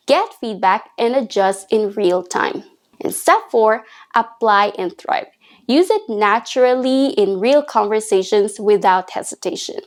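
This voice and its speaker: Give me a higher-pitched woman teaching English. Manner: clear, encouraging and instructive. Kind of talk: scripted video lesson.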